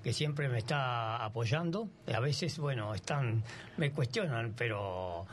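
A 79-year-old man with an Argentine accent, a runner guest on a radio show, giving earnest thanks in a halting way with many pauses and fillers. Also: medium pitch, expressive, slight background noise. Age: older. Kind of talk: casual radio interview.

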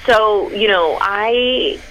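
A woman's voice: mid-range voice, very slow, a bit bright, highs cut off, slight background noise. A woman from the United States, a guest in an interview, talking in an enthusiastic, chatty way with many fillers. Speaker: guest, content creator. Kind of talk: conversational interview.

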